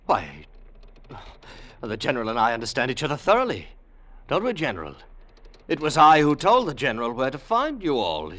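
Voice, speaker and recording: An actor playing a fat, fawning, cowardly traitor in an old radio drama, obsequious and theatrical in manner. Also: male voice, medium pitch, huge pitch swings, normal speed, long pauses, a bit bright. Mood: smug, oily. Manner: obsequious, theatrical, stammering under pressure. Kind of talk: 1940s-50s radio drama acting.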